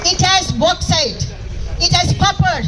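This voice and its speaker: Hoarse high-voiced Indian woman orator. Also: expressive, unhurried. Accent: Indian English. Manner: fiery, repetitive, rhetorical questions to the crowd. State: hoarse, lost voice. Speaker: protest speaker. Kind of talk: impassioned rally speech. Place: outdoor protest rally in a park. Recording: crowd noise at outdoor rally. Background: crowd and ambient noise.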